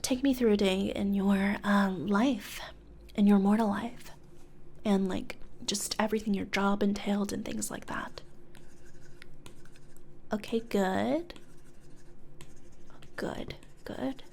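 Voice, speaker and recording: A woman playing a blithe corporate recruiter for hell in a soft-spoken ASMR roleplay, hesitant and conversational, often slipping into whispers, close on the mic. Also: mid-range voice, fairly even intonation, takes it slowly, pauses often, a little hiss or hum. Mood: quietly approving. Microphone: close studio condenser microphone.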